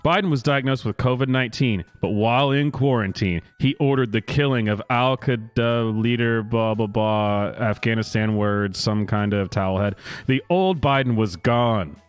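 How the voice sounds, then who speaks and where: A man reading a news story aloud as a commentary host, mock-dramatic, with sarcastic asides and theatrical pauses. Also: mid-range voice, clear, resonant tone, dry recording. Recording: music playing behind the voice. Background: music.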